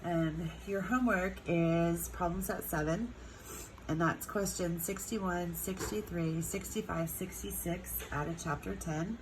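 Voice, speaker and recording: A congested woman with a low voice and a stuffed-up nose walks through a chemistry lesson. She talks slowly, stretching words and filling pauses with ums. The recording carries a steady noisy hiss behind her. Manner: unhurried, many ums, drawn-out words. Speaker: chemistry teacher.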